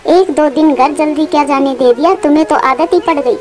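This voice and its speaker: A woman's voice: sits high, a little fast, natural voice. A woman voicing a stern, haughty eagle mistress in a cartoon, scolding in an imperious manner. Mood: irritated, haughty. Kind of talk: Hindi moral-story cartoon dialogue.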